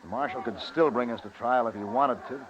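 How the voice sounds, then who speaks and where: An actor playing a crooked, defiant American frontier gambler, argumentative and theatrical, in a radio western. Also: male voice, medium pitch, a little fast, natural voice. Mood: resigned. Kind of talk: old-time radio western acting. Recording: muffled old radio recording, crowd behind.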